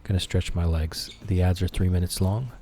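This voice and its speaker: A man hosting a calm, soothing game livestream, chatting gently and in a friendly way with his viewers. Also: low-pitched, moderate pace. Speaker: game streamer, host. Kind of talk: calm, soothing livestream chat over gameplay.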